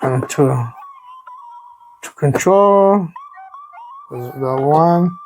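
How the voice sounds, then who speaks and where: Slow, gravelly man with halting delivery. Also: on the high side, animated, a little mellow, soft background music. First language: Vietnamese. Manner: halting, searching for words. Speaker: vlogger unboxing a product. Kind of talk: casual unscripted product unboxing talk.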